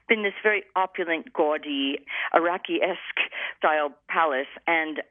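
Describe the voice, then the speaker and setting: A woman's voice: sits low, moderate pace, no echo. A journalist woman recalling her time reporting abroad, telling it as a reflective story in an interview. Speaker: journalist guest. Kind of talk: interview over the phone. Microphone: telephone. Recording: tinny phone line.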